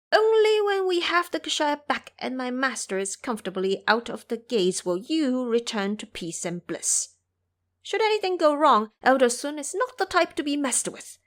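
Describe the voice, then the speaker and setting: A woman's voice: sits high, a bit bright. A woman voicing a boastful, protective male monkey disciple in an audio drama, with a swaggering, emphatic delivery. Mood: stern warning.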